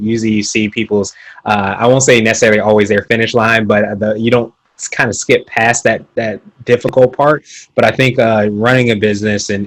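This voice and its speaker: Male podcast host, upbeat, enthusiastic, lowish voice, dry sound. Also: a bit bright, no echo, low-quality recording. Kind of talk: podcast interview.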